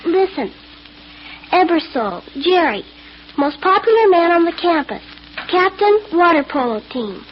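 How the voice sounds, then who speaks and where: A woman's voice: extremely high pitch, very animated, unhurried, full character voice. A woman playing a guarded, sulky schoolgirl in a 1940s radio drama. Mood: proud. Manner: sulky, girlish. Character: guarded schoolgirl, proud of her absent father. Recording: dull vintage radio recording with hiss.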